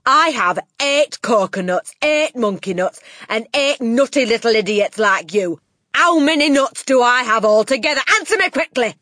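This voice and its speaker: A woman voicing a huge, bullying headmistress in an audiobook, booming and barking out contemptuous insults with drawn-out emphasis. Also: a bit bright, no room sound, clean studio sound. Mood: furious. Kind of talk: audiobook character acting.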